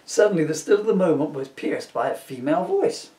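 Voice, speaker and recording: Animated middle-aged man storytelling, roomy sound. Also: fairly high pitch, a little fast. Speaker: author reading aloud. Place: small room at home. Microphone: camera a couple of metres away. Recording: roomy, distant camera microphone.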